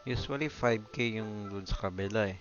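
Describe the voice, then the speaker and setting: A man's voice: lowish voice, fairly quick, muffled recording. A man with a Filipino accent chatting casually as he livestreams a game. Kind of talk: casual chat while playing a game. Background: game music.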